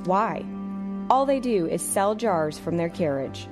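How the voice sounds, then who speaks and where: A woman's voice: lowish voice, animated, unhurried. Woman playing an exasperated queen over music. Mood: skeptical. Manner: stern, questioning, formal diction. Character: exasperated, sensible queen scolding her foolish husband. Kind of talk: comedic audio drama acting.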